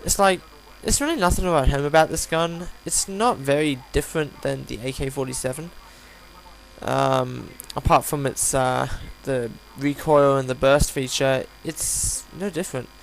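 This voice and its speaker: A man with a croaky, tired voice talks through casual gameplay commentary. His pitch is middling and his delivery wanders, with hesitations and side remarks. A faint hum sits behind the home recording. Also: normal speed, low-quality recording. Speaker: gaming video host reviewing a weapon. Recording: home recording with audible hum, background noise.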